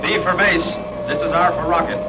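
An actor playing an unruffled air force colonel piloting a rocket plane, calm and reassuring over a radio link in a 1950s drama. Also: male voice, fairly high pitch, brisk, highs cut off, noisy background. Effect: distant radio transmission.

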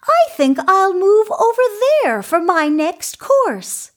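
A woman voicing a small, innocent young male billy goat in a children's story, squeaky and earnest. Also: very animated, slow pace, full character voice. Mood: innocent, eager.

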